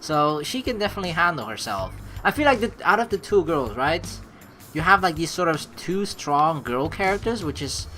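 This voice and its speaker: A woman hosting a let's play in an excitable, reactive way, commenting casually and reading narration aloud. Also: on the low side, normal speed, soft background music.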